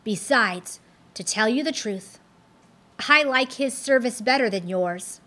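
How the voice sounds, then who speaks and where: Storybook hero: woman playing a humble, steadfast pilgrim, earnest and a touch higher-pitched. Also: unhurried, pushed and bright. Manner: earnest, firm, pious. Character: steadfast young male pilgrim, humble and defiant. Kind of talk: storybook read-aloud.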